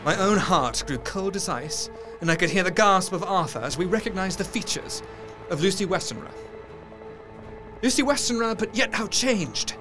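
A man playing an earnest, haunted Victorian gentleman, narrating a horror drama in a measured, literary and expressive way. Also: high voice. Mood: dread, then horrified. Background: music.

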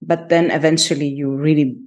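A woman's voice: low voice, flat delivery, a little fast, a little mellow. A woman hosting a podcast, introducing the episode and asking her guest questions in an encouraging way. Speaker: podcast host. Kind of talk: conversational interview.

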